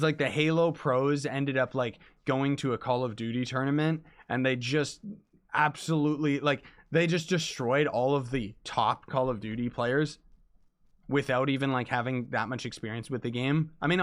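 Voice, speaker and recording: Hoarse young man streaming. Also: medium pitch. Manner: chatty, excitable, laid-back, heavy use of "like" and "dude". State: hoarse, losing voice from illness. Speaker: game streamer. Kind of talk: casual livestream chat while gaming.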